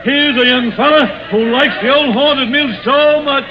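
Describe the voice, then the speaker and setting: A man acting a brash carnival barker in a 1940s radio drama, calling out loud showman's patter. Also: very high voice, moderate pace, muffled recording. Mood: hawking, showy. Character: brash carnival barker selling haunted mill tickets. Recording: old 1940s radio broadcast, organ music behind.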